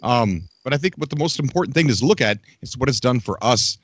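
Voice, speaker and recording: A man hosting a casual call-in chat, upbeat and encouraging, quick to agree with his guests. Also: medium pitch, lively intonation, husky, dry recording. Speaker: show host.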